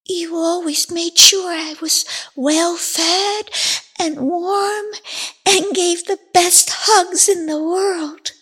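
A woman's voice: steady tone, extremely slow, heavily acted. A timid little match girl. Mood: longing. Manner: high childlike voice, soft and pleading.

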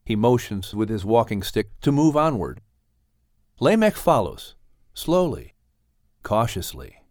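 A man narrating an audiobook with dramatic, expressive storytelling. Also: fairly low pitch, a little slow, dry recording, studio quality.

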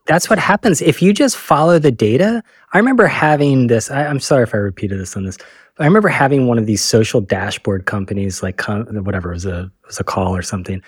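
A man's voice: mid-range voice, fast, slightly rough, dry recording, clean studio sound. A man co-hosting a casual podcast chat, telling stories in a rambling way and correcting himself as he goes. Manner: rambling, self-correcting storyteller. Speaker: podcast co-host.

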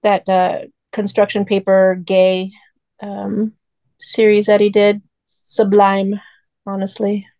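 A woman co-hosting a podcast, chatting casually and telling things in a halting, filler-heavy way. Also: medium pitch, monotone, warm tone, no echo. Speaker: podcast co-host.

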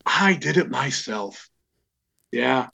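Gravelly older man, husky lo-fi sound. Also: mid-range voice, animated, sharp tone. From Israel. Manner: reflective, wry, unhurried storytelling. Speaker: comic store owner. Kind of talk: casual interview. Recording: dull lo-fi remote audio.

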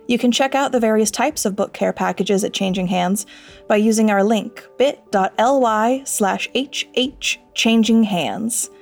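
A woman's voice: medium pitch, measured pace, vocal fry, faint music behind. A woman delivering a scripted sponsor message as part of a podcast team. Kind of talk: scripted ad read.

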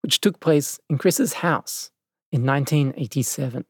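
A man narrates a documentary from a prepared script. His voice sits at a medium pitch. The recording is dry, with almost no room around it. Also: moderate pace, breathy edge, no room sound.

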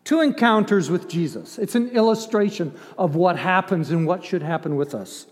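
High-voiced older man preaching in echoing hall. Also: lively intonation, moderate pace. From grew up in Ethiopia. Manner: emphatic, conversational, with dramatized asides and rhetorical questions. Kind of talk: sermon. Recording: long hall reverb.

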